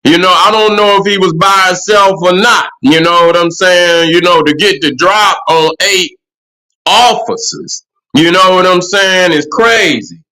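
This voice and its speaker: High bright-voiced profane livestream host. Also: male voice, a little slow, poor audio. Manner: profane, rambling, repeats "you know what I'm saying". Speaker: livestream host answering chat. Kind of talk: casual livestream commentary.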